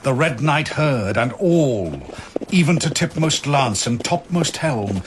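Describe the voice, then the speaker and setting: A man narrating an archaic verse tale in a solemn, measured, dramatic storytelling style. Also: mid-range voice, lively intonation, noisy background.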